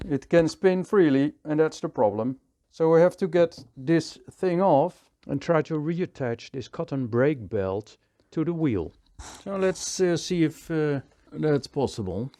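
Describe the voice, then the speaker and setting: A man with a Dutch accent, calmly thinking aloud as he works through a hands-on repair tutorial. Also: on the high side. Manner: calm, thinking aloud while working. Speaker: repair technician.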